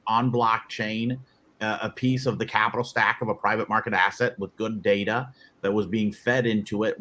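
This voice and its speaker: A man's voice: on the low side, pushed and bright. A man hosting a webinar panel, talking loosely with many restarts. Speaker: webinar host, moderator. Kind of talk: casual panel moderation.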